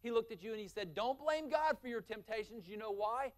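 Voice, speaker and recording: A male pastor preaching a sermon, building force through long lists and dropping low and quiet for key points. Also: expressive, a little fast.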